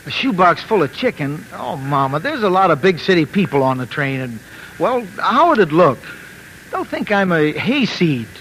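A man's voice: a little high, big pitch swings, moderate pace, fairly bright tone, a little hiss or hum, poor audio. An actor playing an earnest small-town youth who tells his life story in a folksy, wry 1940s radio style. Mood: earnest, embarrassed. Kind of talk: scripted narration, 1940s radio acting. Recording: vintage radio recording with music.

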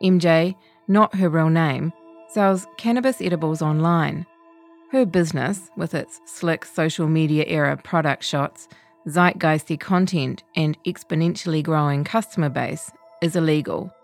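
A woman's voice: deep voice, measured pace, soft background music. A female reporter reading her own long-form feature as scripted narration.

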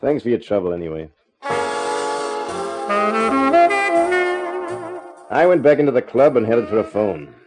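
An actor playing a wry, tough private eye who also narrates, in clipped 1950s radio detective style. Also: male voice, lowish voice, sing-song, quick pace, lo-fi sound, over loud music. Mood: matter-of-fact.

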